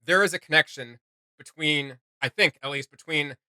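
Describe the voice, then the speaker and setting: A man chatting casually as a reaction video host, excitable and prone to sudden bursts of volume. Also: fairly high pitch, normal speed, dry recording.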